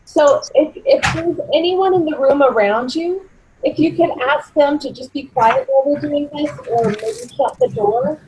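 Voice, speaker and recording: A woman's voice: high-pitched, normal speed, a little mellow, rough recording. A woman directing a remote recording session, giving informal, plain step-by-step instructions in a directive way. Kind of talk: informal spoken instructions on an online call.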